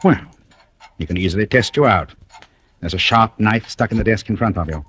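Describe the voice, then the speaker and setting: An actor playing a suave, mocking, cold-blooded British blackmailer in an old-time radio thriller, speaking with urbane deliberation. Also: male voice, lowish voice, brisk, fairly bright tone, husky. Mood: smug, taunting. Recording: noisy vintage radio recording with clicks.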